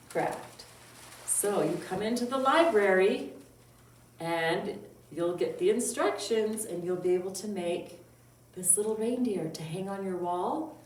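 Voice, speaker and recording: Female children's storytime host, unhurried and playful, sing-song delivery, recorded at a distance in a roomy space. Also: fairly low pitch. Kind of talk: children's storytime talk, reciting poems and singing. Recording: roomy echo, distant mic, faint noise.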